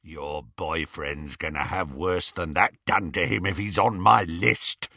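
Slow rasping, growling werewolf thug. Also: male voice, low-pitched, sharp tone, exaggerated voice. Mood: gloating menace. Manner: slow, relishing, menacing. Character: savage gloating male werewolf thug, menacing predator.

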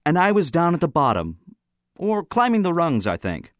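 Guy playing a defensive teen boy under accusation, guarded, pitched up, audiobook. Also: wildly swooping pitch, moderate pace, dry recording, clean studio sound. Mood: evasive.

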